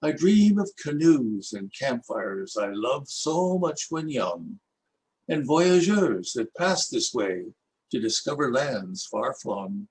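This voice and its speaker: An older Canadian man reading his own rhyming poems aloud in a measured, lilting recitation. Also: mid-range voice. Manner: lilting, expressive recitation with rhythmic rhyme. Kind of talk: slow, measured poetry reading.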